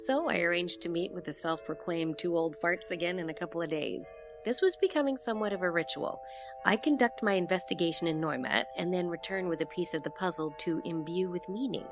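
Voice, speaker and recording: A woman's voice: fairly low pitch, a little fast, lightly acted. An actress playing a curious, candid female investigator, narrating an audio drama in a reflective, inquisitive manner. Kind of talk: scripted first-person audio drama narration. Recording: music bed behind the voice.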